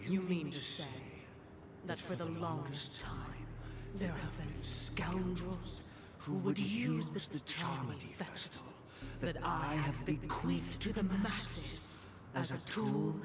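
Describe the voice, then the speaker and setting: An actor playing a lofty, grave old patriarch in a video game, speaking formally and deliberately, with music behind him. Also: male voice, fairly high pitch, over a noisy crowd. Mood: grave surprise. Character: lofty old male dream master, grave, measured patriarch. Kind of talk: dramatic video game voice acting.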